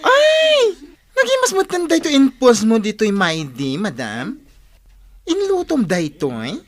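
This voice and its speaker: An actress playing a nosy, flamboyant salon character in a radio comedy drama. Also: female voice, fairly high pitch, huge pitch swings, unhurried, full character voice. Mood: teasing.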